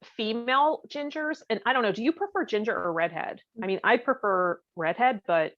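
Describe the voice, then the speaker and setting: A woman's voice: on the high side, normal speed, fairly bright tone, dry recording. A woman co-hosting a podcast in casual, comedic conversation, with a joking, bantering way of talking. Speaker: podcast co-host. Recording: dull, boxy, dry lo-fi audio.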